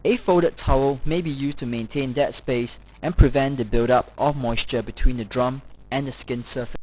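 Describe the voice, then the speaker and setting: A man reading scripted educational narration as a lecturer, in a formal, measured manner. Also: mid-range voice. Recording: dull, lo-fi voice-over recording.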